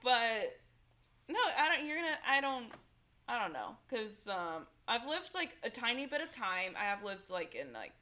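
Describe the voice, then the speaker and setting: Woman, Southern Californian accent, bright, chatty, filler words. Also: a little high, big pitch swings, moderate pace. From Orange County, California. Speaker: guest DJ. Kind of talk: casual chat.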